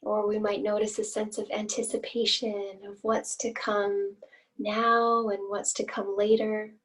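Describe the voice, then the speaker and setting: Slow-paced woman guiding meditation, slightly distant. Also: mid-range voice, flat delivery. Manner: gentle invitational phrasing with long pauses. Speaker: meditation guide. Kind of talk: guided meditation, slow and instructional. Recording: voice distant from the mic.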